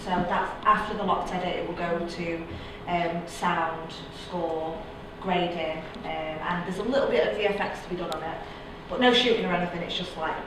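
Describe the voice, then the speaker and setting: A woman's voice: fairly low pitch, fairly even intonation, normal speed, hardly any pauses. A woman talking informally as a film director on a panel. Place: indoor event room at a film festival panel. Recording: echoey room from far off, noisy.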